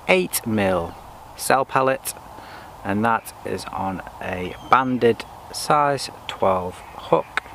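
A man, chatting casually about his fishing outdoors on a lakeside bank. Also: fairly low pitch. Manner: relaxed, chatty, talks himself through each step. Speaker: angler vlogger. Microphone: camera on the bank in front of him. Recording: faint outdoor noise.

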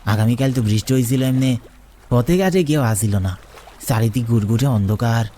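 Man acting a fearful rustic Bengali villager, mellow-voiced. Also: medium pitch, normal speed, a little hiss or hum, natural voice. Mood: fearful, ominous. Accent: rural eastern Bengali dialect. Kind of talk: cartoon horror dialogue.

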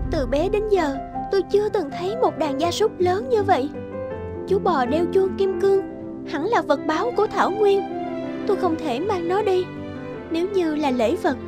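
Casting: sincere, modest young cartoon bride, very high voice, polite delivery over prominent music. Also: female voice, normal speed. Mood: awed, modest. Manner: polite, earnest. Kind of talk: Vietnamese cartoon dubbing. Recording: background music under the voice.

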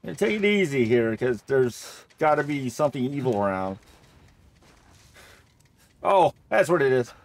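A man's voice: medium pitch, sing-song, unhurried, pauses often. A man giving casual Let's Play commentary on a horror game, rambling and thinking aloud as he plays, often repeating himself. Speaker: gamer narrating a horror game playthrough.

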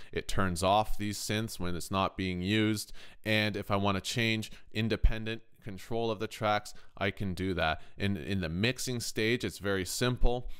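A man's voice: lowish voice, a bit bright, clean tone. A man hosting an informal music production tutorial, conversational and thinking aloud as he explains, often checking in with the listener. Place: indoors, voice-over on a screen recording.

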